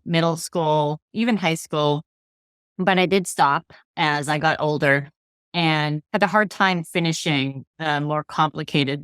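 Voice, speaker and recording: Middle-aged woman, low voice, dry home-office sound, unhurried author guest. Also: smooth, no room sound. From Massachusetts. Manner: reflective, relaxed storytelling with soft laughs. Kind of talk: casual interview chat. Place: home room with bookshelves. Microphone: video call.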